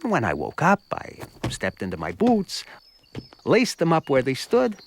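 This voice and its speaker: An actor playing a naive, earnest village simpleton who narrates his own folk tale in an animated short, with storytelling pauses. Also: on the high side, creaky, heavily acted. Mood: simple wonder. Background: music.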